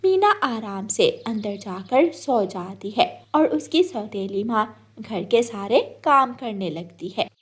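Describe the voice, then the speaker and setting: Woman reading a kids story, really high voice, slow pace. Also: animated, husky. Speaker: storyteller narrator. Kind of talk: scripted children's story narration.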